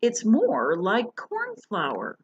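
A woman's voice: mid-range voice, huge pitch swings, takes it slowly, dull audio, a little hiss or hum, pitched above the performer's own voice. A woman voicing a spirited young girl who loves coloring, reading from a picture book. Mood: delighted.

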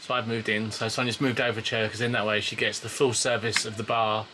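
A man's voice: lowish voice, flat delivery, fast talker, bright, forceful tone, slight background noise. A man chatting casually and playfully as he narrates a vlog outdoors. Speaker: vlog host. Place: outdoors by a river and boat. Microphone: handheld camera, operator behind it.

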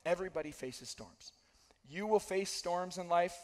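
A man in his thirties preaches from a large church stage, his fairly high voice worn a little hoarse. He speaks earnestly and drives points home by repeating them. The sound carries a long reverb from the hall around him. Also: normal speed, darkish tone. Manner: earnest, repeats key phrases for emphasis. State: losing his voice, slightly hoarse. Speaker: pastor. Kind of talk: sermon.

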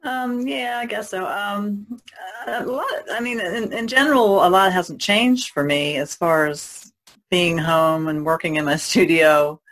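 A woman's voice: mid-range voice, a little slow, fairly bright tone. A woman speaking casually as a podcast panelist.

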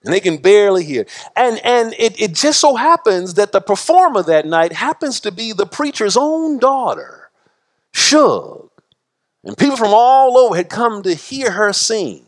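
A middle-aged man preaching a sermon from a church pulpit, impassioned and full of repetition, his pitch and loudness swinging widely. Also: sing-song, unhurried. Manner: gestures broadly while preaching at a pulpit. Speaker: pastor. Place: church sanctuary.

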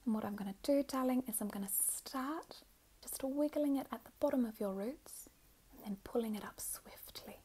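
A woman playing a doting, gushing mother in a soft, often whispered ASMR roleplay, full of soothing endearments. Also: on the high side, moderate pace, slightly rough. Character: doting, gushing, over-emotional mother doing her child's makeup.

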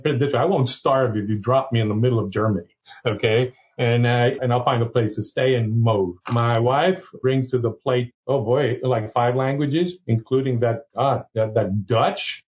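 A man's voice: medium pitch, slightly rough, dull audio. A Cuban-born man who is a company's chief legal counsel, speaking as a guest in a candid, folksy way and often tossing in "okay?". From Cuba. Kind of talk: conversational interview.

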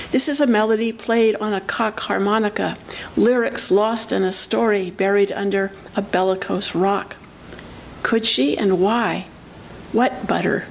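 An older woman gives a poetry reading from the page, in a medium-pitched voice. She speaks into a podium microphone in a hall, with some faint noise on the recording. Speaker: poet, reader. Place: lecture hall, indoors. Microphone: gooseneck podium microphone. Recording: noisy live lecture-hall recording.

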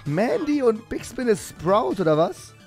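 A man streamer, hoarse from illness, in casual, joking gaming chat with friends. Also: high voice, a little slow, faint music behind. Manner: relaxed, joking banter.

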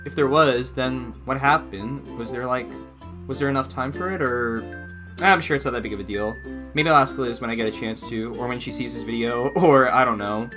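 A man's voice: mid-range voice, normal speed, music in the background. A young man giving casual reaction commentary in a rambling way, often repeating and rephrasing himself, recorded in a small room at home. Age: young adult. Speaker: reaction video host.

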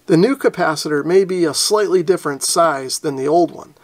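A man's voice: fairly high pitch, a little fast. A man giving plainspoken, methodical how-to narration as the host of a repair tutorial.

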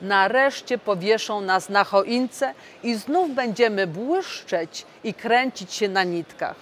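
A woman's voice: mid-range voice, animated, takes it slowly, slight background noise. A woman voicing an impatient glass ornament lady in a children's storybook, whispering eagerly.

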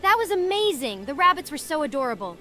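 An excitable young female festival-goer played by a woman has a high, swooping voice. She is a delighted fantasy game character, with faint music underneath. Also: fast talker, heavily acted.

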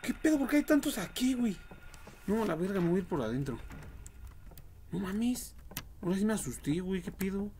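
A man's voice: sits high, expressive, fairly quick, pauses often, warm tone. A young man with a Mexican accent, giving profane, slangy, reactive live commentary on a horror game. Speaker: game streamer. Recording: noisy home livestream microphone.

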